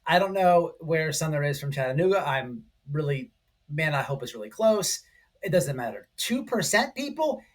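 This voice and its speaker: Excited, slightly husky man vlogging. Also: fairly high pitch, moderate pace. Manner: excitable, emphatic, repetitive, addressing viewers directly. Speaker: online commentary channel host. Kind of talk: casual, informal video commentary.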